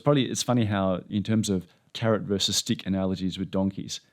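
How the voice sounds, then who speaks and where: A man's voice: deep voice, fairly even intonation, quick pace. A man hosting an interview, chatting casually and rambling through anecdotes. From Australia. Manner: rambling, anecdotal, self-deprecating. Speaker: podcast host.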